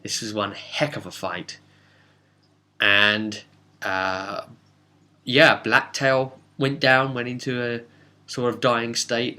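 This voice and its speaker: A man giving a casual, unscripted recap as a tabletop game master, rambling and animated with hesitant asides. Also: mid-range voice.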